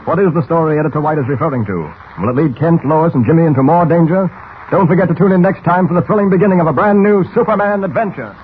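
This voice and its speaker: An American male announcer from old-time radio. He reads with dramatic urgency at a fast clip, his slightly high voice thin and tinny. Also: slight background noise. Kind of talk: 1940s radio announcing, scripted.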